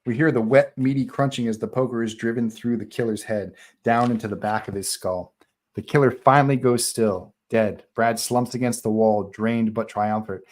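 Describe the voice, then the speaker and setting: A man's voice: mid-range voice, fairly even intonation, normal speed, darkish tone. A man narrating the stage directions of a horror script at a live online table read. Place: online group call.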